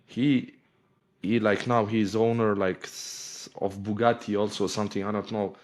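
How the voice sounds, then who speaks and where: Male interview host, low-ish voice, hesitant and filler-heavy. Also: little pitch movement. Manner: halting, searching for words, many fillers. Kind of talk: casual interview conversation.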